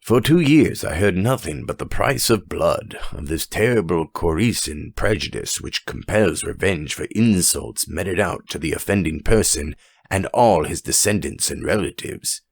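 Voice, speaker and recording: A man voicing a dignified, rational judge telling a story in a grave, measured and formal manner for an audiobook. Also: sits low, expressive, vocal fry, husky, dry recording, clean studio sound, exaggerated voice. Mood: grave, emphatic. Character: dignified, weighty, rational male French judge.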